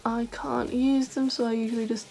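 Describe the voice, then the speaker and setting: A young woman chats casually to her viewers in a voice that sits a little high. Her voice is scratchy and gravelly today, and she rambles with plenty of "like" fillers. Soft music plays faintly behind her. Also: flat delivery, normal speed. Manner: chatty, rambling, many "like" fillers. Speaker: beauty vlogger. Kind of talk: casual chat to camera.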